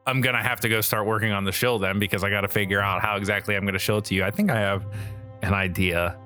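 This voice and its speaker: A man's voice: on the low side, steady tone, quick pace, pushed and bright, faint music behind. A man co-hosting a podcast in a casual, informal chatty style. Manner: informal, frequently swearing. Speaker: podcast co-host.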